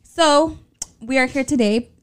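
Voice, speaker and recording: A Mexican American woman in her late twenties co-hosts a casual podcast with a fairly high voice. Her talk is loose and full of swearing, and she laughs a lot as she drifts between English and Spanish. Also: unhurried, clean tone. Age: late 20s. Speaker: podcast co-host. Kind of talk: casual chat.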